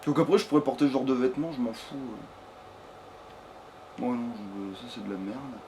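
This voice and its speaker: A man's voice: mid-range voice, moderate pace, noisy background. A man giving casual, rambling let's play commentary peppered with swearing. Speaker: let's player.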